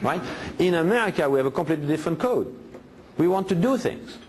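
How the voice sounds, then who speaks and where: A man's voice: a little high, lively intonation, quick pace, darkish tone, a little hiss or hum. Man lecturing with rhetorical questions. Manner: emphatic, asks rhetorical questions. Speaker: guest speaker in a played clip. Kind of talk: lecture-style commentary.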